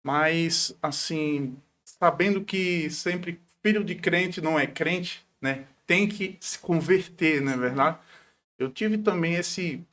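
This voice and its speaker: A man around forty speaks in a slightly high voice. He is a pastor being interviewed, talking devoutly and conversationally about himself. He often punctuates his sentences with brief tag questions. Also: fairly bright tone. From Paraíba, northeastern Brazil.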